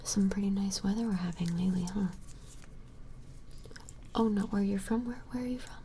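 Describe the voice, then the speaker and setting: A woman voicing a flustered, smitten vampire in an ASMR roleplay, whispering hesitantly and apologetically in a breathy voice. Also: medium pitch, a little hiss or hum, close to an everyday voice. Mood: flustered, polite. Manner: hesitant, apologetic, halting, softly attentive. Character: flustered, smitten female vampire measuring a strange creature. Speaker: ASMR performer.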